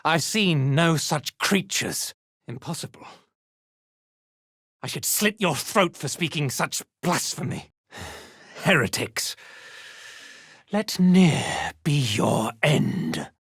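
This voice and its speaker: Sneering, arrogant drow zealot, swooping delivery. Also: male voice, fairly high pitch, very animated, slow, gravelly, long pauses, a bit bright, slightly rough, no room sound, clean studio sound, exaggerated voice. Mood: menacing scorn. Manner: sneering, disdainful, pompous. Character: arrogant, cruel male drow zealot commander. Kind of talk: fantasy video game voice acting.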